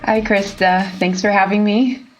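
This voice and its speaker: A woman's voice: mid-range voice, steady tone, measured pace, faint music behind, poor audio. A woman with a Californian American accent, answering interview questions conversationally over a remote call. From San Francisco Bay Area, United States. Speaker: interview guest, startup co-founder and CEO. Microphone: remote video call.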